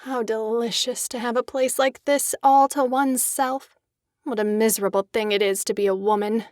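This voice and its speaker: An actress playing an elegant young socialite in a dramatized audiobook, refined, expressive and coquettish, discontented yet charming. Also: female voice, fairly high pitch, moderate pace, no echo, studio quality, natural voice. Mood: wistful discontent.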